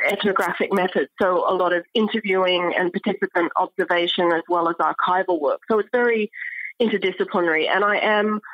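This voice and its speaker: Middle-aged female scholar as interview guest: lowish voice, measured and articulate, dry but slightly muffled recording. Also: no echo. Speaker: interview guest, archaeology professor. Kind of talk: thoughtful academic interview talk.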